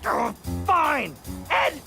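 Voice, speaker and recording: A scheming cartoon loudmouth of a boy. His high, gravelly voice swings wildly as he bosses and wisecracks. Loud music plays behind him. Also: wildly swooping pitch, very slow, exaggerated voice. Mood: irritated. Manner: brash, bossy, wisecracking. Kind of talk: cartoon game voice acting.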